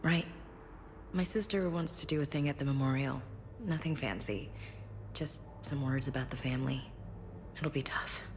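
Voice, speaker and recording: Sci-fi game soldier, female, low-pitched and gravelly, guarded in her grief, faint noise behind. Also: normal speed, long pauses. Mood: quiet, resigned. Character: grieving, guarded soldier and protective big sister. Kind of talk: naturalistic game dialogue acting.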